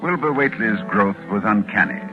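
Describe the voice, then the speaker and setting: An actor playing a grave, learned doctor who narrates in formal, ominous 1940s radio style. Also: male voice, lowish voice, lively intonation, brisk, lightly acted. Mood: ominous, urgent. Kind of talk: 1940s radio acting, dramatic scripted narration. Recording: muffled, narrow vintage 1940s radio, music.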